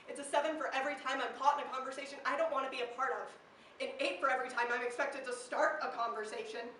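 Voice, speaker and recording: High-voiced teenage girl performing slam poetry, echoey room. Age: teenager, seventeen. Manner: impassioned, emphatic, dramatic delivery with swells and hushes. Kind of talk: spoken-word slam poetry recital. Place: live poetry slam venue, indoors. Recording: echoey room, distant mic, faint noise.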